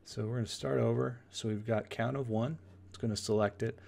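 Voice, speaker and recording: A man giving an informal screen tutorial, thinking aloud as he explains each step in a casual, halting way. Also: mid-range voice, steady tone, vocal fry, a little mellow. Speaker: software tutorial instructor. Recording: noisy room with keyboard clicks. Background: typing and mouse clicks.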